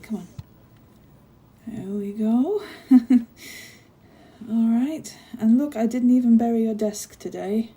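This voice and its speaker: A woman acts out a kindly giantess office worker in an ASMR roleplay. Her voice is very soft and dark, and she chats in an unhurried, caring way with small hesitations. There is a faint noise behind her. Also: fairly high pitch. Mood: cheerful, excited. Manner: bubbly, caring, apologetic, hesitant with soft laughs. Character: friendly chatty giantess office worker greeting her tiny coworker. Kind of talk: ASMR roleplay, one-sided conversation.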